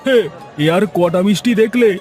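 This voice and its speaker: Man acting a high-pitched cartoon sweet-seller. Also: normal speed, a little mellow, some chatter behind, natural voice. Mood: chummy. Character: chummy, generous village sweet-seller in a cartoon. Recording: faint music behind the voice.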